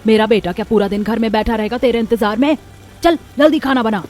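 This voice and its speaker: A woman's voice: fairly high pitch, fast talker, a little mellow, lightly acted. A woman voicing a cruel, sarcastic older mother-in-law who scolds, in a cartoon family drama. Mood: impatient nagging. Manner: harsh, nagging, sarcastic. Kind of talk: cartoon story voice acting. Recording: background music under voice.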